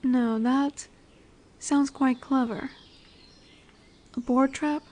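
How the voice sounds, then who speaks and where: A woman plays a dominant female lamia in a fantasy roleplay audio, high in pitch and unhurried. She is curious and a little condescending, yet nurturing, teasing and soothing as she slips toward breathy whispers. Birdsong and woodland ambience sit behind the voice. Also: fairly even intonation, a little mellow, a little hiss or hum. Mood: gentle, indignant. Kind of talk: slow roleplay audio monologue addressed to the listener. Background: birdsong, forest ambience.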